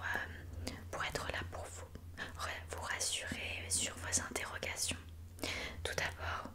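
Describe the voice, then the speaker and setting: A woman playing a mystical female fortune teller in a whispered ASMR roleplay, speaking slowly and soothingly and calling listeners darling. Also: close to an everyday voice.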